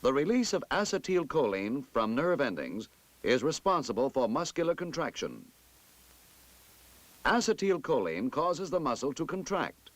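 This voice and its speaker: A man narrating a scripted 1960s military instructional film in a formal, emphatic, measured manner. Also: fairly high pitch, sing-song, no room sound.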